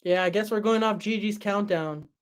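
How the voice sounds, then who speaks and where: A young man hosting a livestream and answering chat in a relaxed, chatty way, his voice tired after hours of talking. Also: high-pitched, normal speed, smooth. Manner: relaxed, chatty, many fillers and restarts. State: voice tired after a long stream. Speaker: livestream host reading chat.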